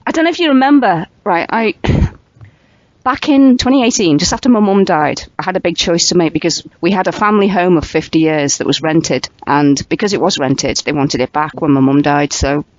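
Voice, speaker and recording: A woman's voice: lowish voice, brisk, no echo. A woman with a light Merseyside accent hosting a radio chat, talkative and often laughing as she tells stories. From England, Wirral. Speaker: radio show host. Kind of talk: casual interview chat. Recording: dry, dull lo-fi audio.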